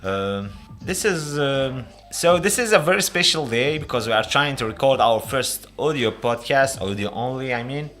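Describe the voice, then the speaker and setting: A man with an Algerian accent hosting a casual interview, halting as he searches for his words. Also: mid-range voice, expressive, fairly bright tone, soft background music. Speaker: podcast host, interviewer.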